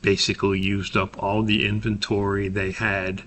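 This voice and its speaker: A man giving a methodical, step-by-step software tutorial as an instructor, explaining in a somewhat hesitant way. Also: lowish voice, monotone, moderate pace, slight background noise.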